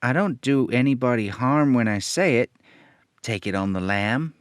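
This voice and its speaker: A man's voice: medium pitch, moderate pace, clear, resonant tone, no room sound, studio quality, lightly acted. A man voicing a naive, eager young boy in an old radio serial style, earnest and a little hesitant. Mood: puzzled, defensive.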